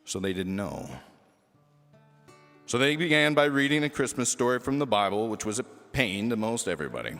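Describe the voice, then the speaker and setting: A man reading a children's story aloud as lively scripted narration. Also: mid-range voice, moderate pace, vocal fry. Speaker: storybook reader. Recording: echoey hall reverb with music.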